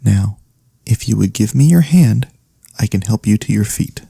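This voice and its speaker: A man playing a gentle elf cleric in a soft-spoken ASMR fantasy roleplay, speaking in a soothing, courteous, slightly formal way. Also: fairly low pitch, lively intonation, normal speed, vocal fry, breathy edge, dry recording, full character voice. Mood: warm farewell. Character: gentle, caring male elf cleric healer, pacifist. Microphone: close studio USB microphone.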